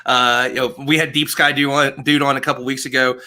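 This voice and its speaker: Fast, bright-voiced male interview host. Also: mid-range voice, little pitch movement. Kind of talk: casual live-stream interview. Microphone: desk microphone, headset, on a video call.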